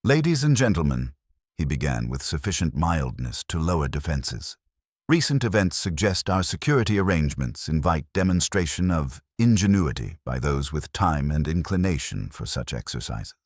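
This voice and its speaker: A man voicing a mild, shrewd police inspector in a mystery story, formal and quietly ironic. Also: very deep voice, sing-song, moderate pace, no echo, studio quality, heavily acted. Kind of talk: storybook mystery character dialogue.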